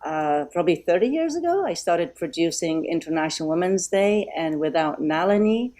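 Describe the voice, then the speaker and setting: A middle-aged woman from Poland giving a heartfelt thank-you speech over a video call, pausing often with um and uh. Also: lowish voice, expressive. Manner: warm and grateful, many um and uh pauses. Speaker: event guest speaker.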